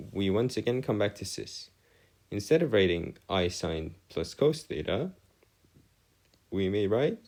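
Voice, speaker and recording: Deep-voiced male tutor, halting and animated. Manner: formal wording, halting, self-correcting. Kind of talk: informal maths lesson over a digital whiteboard.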